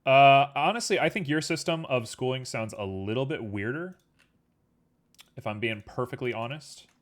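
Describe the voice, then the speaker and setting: This is a man of ordinary pitch talking into a desk mic at his computer, keeping up an easygoing chat with his stream viewers. He rambles along with plenty of fillers. His voice is a little sniffly. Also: expressive, moderate pace. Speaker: livestreamer. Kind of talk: casual chat while working on a 3D model.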